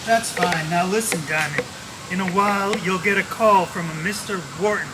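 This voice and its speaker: An actor playing a coolly threatening crime boss who gives deliberate orders in an old-time radio detective drama. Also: male voice, high-pitched, natural voice. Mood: cool menace. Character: menacing male crime boss giving cool orders. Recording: noisy, hissy radio play recording.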